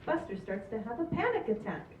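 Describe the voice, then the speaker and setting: A woman's voice: on the high side, very animated, fairly quick. A woman narrating a script at a live table read, reading out stage directions and sounding amused. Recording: distant, echoey, muffled, noisy live recording.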